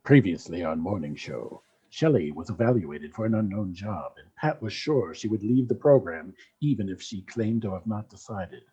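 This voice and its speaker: Male narrator, mid-pitched, husky, scripted announcer delivery, comedy radio play, dull lo-fi stream. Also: lively intonation, normal speed, few pauses, dark tone.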